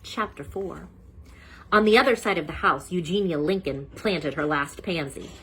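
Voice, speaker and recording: A woman storyteller reading a children's book aloud in an animated style. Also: lowish voice, normal speed, slightly rough, poor audio.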